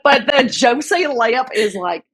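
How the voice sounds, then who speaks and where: A woman's voice: on the high side, animated, a little slow, a crowd in the background. A woman from North Carolina with an American accent, chatting casually as a podcast guest in a slangy, self-deprecating, joking manner. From North Carolina, United States. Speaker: podcast guest, nurse anesthetist and content creator.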